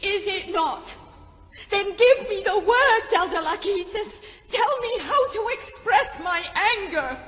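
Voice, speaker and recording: A woman's voice: very high-pitched, animated, takes it slowly, fairly bright tone, heavily acted. A woman playing a grieving, defiant young noblewoman in an audio drama, speaking formally and with passion. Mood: impassioned anger. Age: young adult. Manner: formal, impassioned. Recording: long hall reverb.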